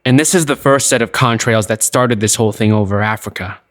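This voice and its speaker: A man's voice: fairly low pitch, brisk, slight background noise, lightly acted. An actor playing an earnest, socially awkward, bookish American teenage boy in an audio drama. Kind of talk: conversational audio drama acting.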